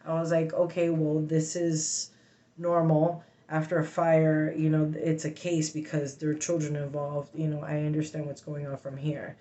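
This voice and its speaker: A woman venting in a casual personal story, blunt and sweary, with halting, emphatic, agitated speech broken by sudden vocal tics. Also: low voice, level tone, mellow. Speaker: vlogger telling a personal story.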